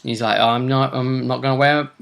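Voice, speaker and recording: A man's voice: mid-range voice, steady tone, brisk, gravelly, a bit bright. Man, mid-range voice, game host, casual recap, conversational, unfinished sentences.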